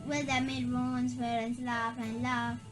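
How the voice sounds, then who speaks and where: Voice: medium pitch, flat delivery, a little slow. Someone reading a children's spooky story aloud, stumbling and repeating words along the way. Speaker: reader. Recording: background music. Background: music.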